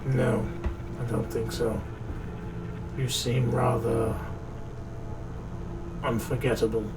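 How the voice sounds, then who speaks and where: A man playing a stern, suspicious city boss in a noir audio drama, gravelly, measured and condescending. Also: medium pitch, level tone, recorded from a distance, heavy background noise.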